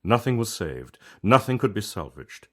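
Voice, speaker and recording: Low-pitched man reading a story. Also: sing-song. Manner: measured, reflective, conversational storyteller. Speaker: author reading own story. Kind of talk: scripted storytelling narration.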